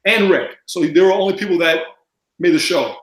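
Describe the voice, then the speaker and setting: A man chatting as a podcast guest, mumbling and talking fast with lots of fillers. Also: high voice, reverberant, poor audio. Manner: rambling with many fillers. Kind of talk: casual podcast chat.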